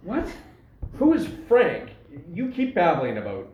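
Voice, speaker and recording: A man's voice: sits high, wildly swooping pitch, unhurried, echoey room, muffled recording, slight background noise, full character voice. A man playing a suspicious, easily bewildered police constable in a stage farce, questioning people formally and indignantly. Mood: bewildered, probing. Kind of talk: stage farce play reading.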